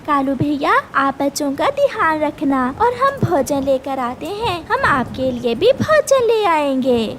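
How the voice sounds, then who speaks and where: A woman's voice: high-pitched, big pitch swings, unhurried, hardly any pauses, background noise. A woman voicing a clever, firm little female bird with polite manners in a children's cartoon story. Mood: concerned.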